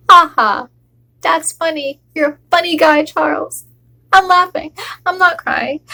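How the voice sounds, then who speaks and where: A woman's voice: high voice, a bit bright, voice pushed up, low-quality recording. A woman performing a monologue as a wife who refuses to accept her divorce, joking sarcastically while holding back tears. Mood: sardonic. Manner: sarcastic, forced laughter over near tears.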